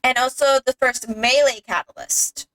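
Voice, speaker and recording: A woman's voice: high-pitched, fairly even intonation, moderate pace, a bit bright, poor audio. A young adult woman chatting casually over a game, eagerly explaining details as a co-host. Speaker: gaming co-host. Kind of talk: casual gameplay chat.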